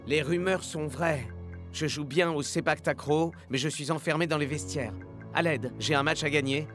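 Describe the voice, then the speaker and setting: A man's voice: on the high side, fairly even intonation, normal speed, music in the background, lightly acted. A man voicing a laid-back, eager young athlete in a French cartoon dub, with a breezy, casual, exclamatory manner. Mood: worried.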